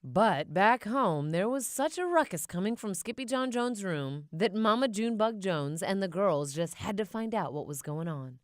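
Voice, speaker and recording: A woman narrating a children's audiobook in an expressive storybook style. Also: medium pitch, normal speed, few pauses.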